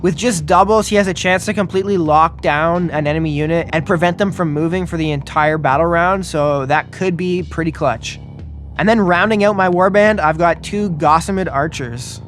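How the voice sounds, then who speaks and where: A man's voice: fairly high pitch, normal speed, fairly bright tone. A man with a North American accent chatting casually and playfully as host and player of a tabletop game. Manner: slangy, playful. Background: faint music.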